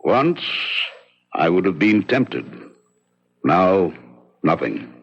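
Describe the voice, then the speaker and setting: A genteel big game hunter in an old radio drama, polite but guarded, pauses a lot, muffled recording. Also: male voice, low-pitched, very animated, vocal fry, slightly rough, heavily acted. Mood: wry, brooding. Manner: courtly, measured, hesitant pauses. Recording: dull, band-limited vintage radio recording.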